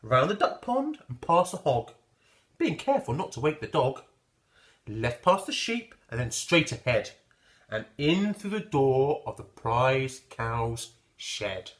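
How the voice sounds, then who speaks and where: A man voicing an earnest, excited little ladybird in a children's storybook reading. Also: mid-range voice, measured pace. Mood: urgent, excited.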